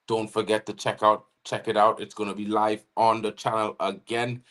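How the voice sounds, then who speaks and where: A man from Trinidad and Tobago with a Trinidadian accent, co-hosting a live football watchalong in casual chat, giving shout-outs to viewers and often saying "you know what I mean". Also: fairly low pitch, little pitch movement, normal speed. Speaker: watchalong co-host.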